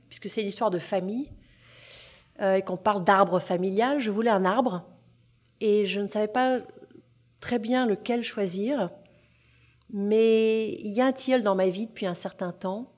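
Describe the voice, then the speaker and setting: A woman novelist talking in an interview, lively and self-deprecating, with frequent hesitations. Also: mid-range voice. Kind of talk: interview conversation.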